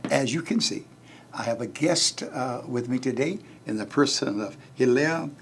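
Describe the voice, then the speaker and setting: Male pastor-host, gravelly, hesitant interviewer, faint hiss behind. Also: medium pitch. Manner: halting, many "uh" fillers. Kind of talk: televised interview.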